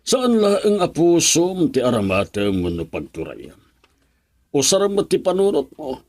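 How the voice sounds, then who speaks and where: A man's voice: fairly high pitch, wildly swooping pitch, unhurried, darkish tone. A Filipino man in a radio drama, playing a stern, stubborn, opinionated middle-aged uncle. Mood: stern, scornful.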